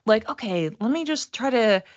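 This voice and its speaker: A woman giving a casual livestream psychic reading, talking to viewers conversationally and thinking aloud in halting phrases. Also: on the high side, animated, dry recording, lo-fi sound. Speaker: psychic reader and class teacher.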